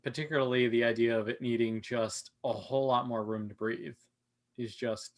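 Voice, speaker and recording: A man on a podcast panel, talking casually and a little haltingly as he thinks aloud. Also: medium pitch, fairly even intonation. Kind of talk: casual group discussion.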